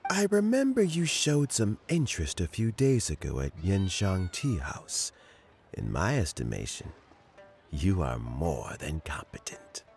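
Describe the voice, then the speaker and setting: A deep, formal advisor. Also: male voice, wildly swooping pitch, measured pace, a little mellow, soft background music, full character voice.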